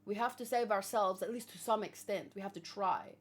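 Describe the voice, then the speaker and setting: A woman gives casual live commentary in a mid-pitched voice. She talks to viewers in an informal way, musing as she goes and pausing to think things through. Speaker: host of a manga read-along reaction show.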